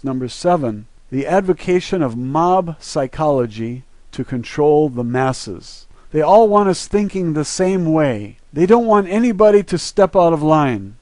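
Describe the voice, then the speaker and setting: American guy doing a preachy solo monologue, kinda higher voice, dry sound, really earnest and emphatic. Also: warm tone, dry recording. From United States. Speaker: video creator and presenter. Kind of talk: solo monologue, part reading a list, part commentary.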